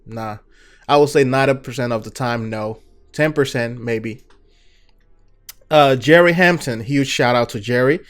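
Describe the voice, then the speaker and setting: A young man from Nigeria with a Nigerian accent, hosting a gaming channel and chatting through viewer questions in a relaxed, filler-heavy way. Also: mid-range voice, a little slow, long pauses. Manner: relaxed, chatty, many fillers and "you know"s. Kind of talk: casual Q&A talk to viewers.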